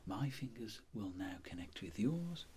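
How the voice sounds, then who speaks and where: A middle-aged man speaking in soft whispered ASMR, playing a clinic doctor giving gentle, unhurried instructions. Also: fairly low pitch, big pitch swings, husky.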